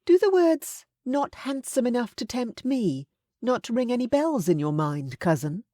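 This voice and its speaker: A forthright middle-aged aunt, as a woman reader puts her on, scolds in a pointed, raised voice. Her lines swing with a lively rise and fall. The sound is close and dry. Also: on the high side, measured pace, no room sound, pitched above the performer's own voice. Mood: scolding.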